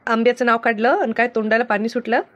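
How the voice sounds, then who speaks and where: A woman's voice: a little high, steady tone, fast talker, a bit bright, natural voice. A woman voicing a friendly, sensible village woman giving advice in a cartoon comedy, with a rural Maharashtrian accent, on a dull recording. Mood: eager. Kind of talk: animated cartoon comedy dialogue.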